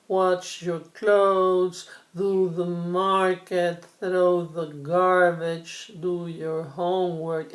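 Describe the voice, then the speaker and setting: Woman teaching english online, super slow and patient, keeps saying "no?", computer mic. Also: fairly low pitch. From Peru. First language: Spanish. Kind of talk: online class lecture.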